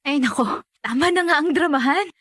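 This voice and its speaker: A woman's voice: high voice, a little fast, fairly bright tone, no echo, clean studio sound. A young woman playing a resigned, dutiful family caretaker in natural radio drama dialogue, self-effacing and accommodating.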